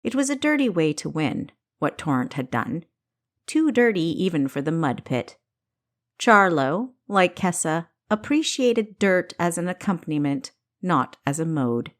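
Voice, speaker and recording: A woman reading scripted story narration for an audiobook, with a dramatic, deliberate delivery and careful enunciation. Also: fairly low pitch, big pitch swings.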